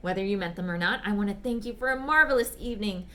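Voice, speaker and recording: A woman voicing a smitten, bashful gentleman writing polite, apologetic, ardent love letters in a dramatic reading. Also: mid-range voice, fast talker, lightly acted. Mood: eager, grateful. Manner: polite, apologetic, ardent, old-fashioned phrasing. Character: smitten, bashful, gushing gentleman letter writer back from China.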